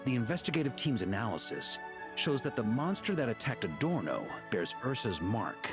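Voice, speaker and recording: An actor playing a stern, measured commander of knights in a video game, with a grave, formal manner. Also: male voice, medium pitch, big pitch swings, music in the background, lightly acted. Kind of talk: dramatic video game voice acting.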